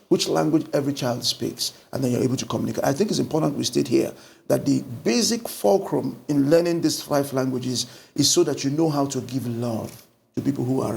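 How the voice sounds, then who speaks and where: A middle-aged Nigerian man, a pastor talking through a book in an animated conversational way, recorded on a lapel mic in an indoor studio. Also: medium pitch, vocal fry. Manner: animated storytelling with lively gestures. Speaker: pastor and guest.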